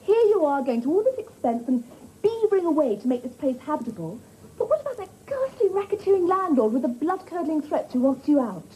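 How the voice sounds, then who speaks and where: A woman's voice: high voice, very animated, fairly quick, mellow, poor audio. A woman playing a sitcom role, talking in a fussy, prim and exasperated way. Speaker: sitcom supporting character. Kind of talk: 1980s British sitcom acting. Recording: studio audience laughter and noise.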